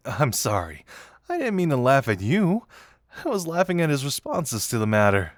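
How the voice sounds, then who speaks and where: Man acting a playful detective, very lively pitch. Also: moderate pace, no room sound, studio quality. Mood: amused, recapping. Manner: teasing, amused, conversational. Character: playful, teasing young male detective, amused confidant. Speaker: voice actor in an audio roleplay. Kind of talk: intimate scripted audio roleplay addressed to the listener.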